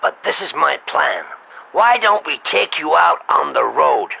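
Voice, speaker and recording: Gravelly guy, sharp edgy tone, pushy agent type, wheedling and crude, slight hiss. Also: a little high, very animated, measured pace, rough recording. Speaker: prank caller posing as an agent. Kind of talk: comic scripted phone pitch.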